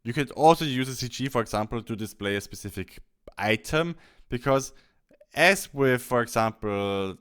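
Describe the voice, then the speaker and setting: A man narrating a software tutorial, explaining things step by step. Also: medium pitch, clean tone. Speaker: tutorial host. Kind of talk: software tutorial narration over screen recording.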